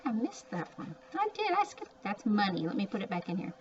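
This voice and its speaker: A woman's voice: mid-range voice, big pitch swings, brisk. A woman teaching young children to read, sounding out and repeating words with chatty asides, in a word-by-word phonics lesson. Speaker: early reading teacher. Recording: distant mic, muffled, faint background noise.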